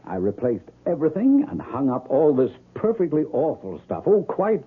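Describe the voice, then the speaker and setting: Muffled old radio drama: courtly, fussy landlord, secretly malicious, husky voice, very animated pitch. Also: male voice, normal speed, exaggerated voice. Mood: sinister delight. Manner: courtly, fussy, hesitant, with sly chuckling delivery. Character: genteel, sinister landlord hiding gleeful malice behind courtly charm. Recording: muffled narrow-band old radio recording.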